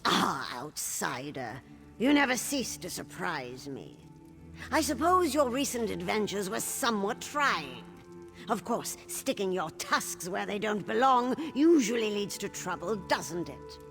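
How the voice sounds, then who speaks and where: A woman playing a scheming older orc queen mother in a fantasy video game, cold, condescending and measured, with a gravelly voice. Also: mid-range voice, very animated, a bit bright. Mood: mocking menace. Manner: measured, mocking, menacing. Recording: music playing clearly behind the voice.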